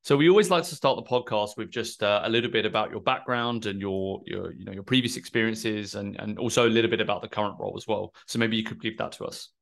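Lowish-voiced male podcast host. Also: fast, vocal fry, few pauses, fairly bright tone. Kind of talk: conversational interviewing.

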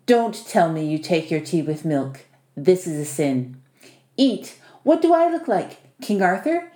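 A woman's voice: fairly low pitch, big pitch swings, unhurried, dark tone. A woman voicing a short, bossy male house spirit for an audiobook, wry and teasing, with foreign-sounding turns of phrase. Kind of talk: audiobook character dialogue. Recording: echoey room.

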